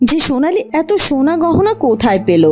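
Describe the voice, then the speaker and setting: Female fairy-tale narrator for children: high-pitched, highly animated, scripted read. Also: fairly quick. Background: background music.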